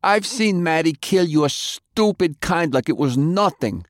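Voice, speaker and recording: A zealous male fanatic, pitched far above an everyday male voice and pushed loud. He preaches and taunts with defiant conviction, in a dry, close recording. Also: lively intonation, unhurried, no room sound. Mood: fervent zeal. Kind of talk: audiobook character acting.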